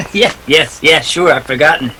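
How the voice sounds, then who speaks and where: A man's voice: a little high, expressive, a little slow, pushed and bright, a little hiss or hum, low-quality recording. A man acting a wisecracking, cool private detective in a 1940s radio play, clipped and wry. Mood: wry, unruffled.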